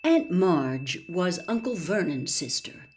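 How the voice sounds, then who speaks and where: Expressive woman reading a storybook. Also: lowish voice, slow, loud music behind the voice. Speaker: audiobook reader.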